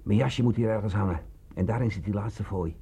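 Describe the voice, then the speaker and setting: An actor in a radio play voicing a cynical, boastful, sickly bandit with a hoarse, drawling, mocking delivery. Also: male voice, lowish voice, fairly quick, warm tone, heavily acted. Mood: weary, wry. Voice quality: hoarse, gravelly.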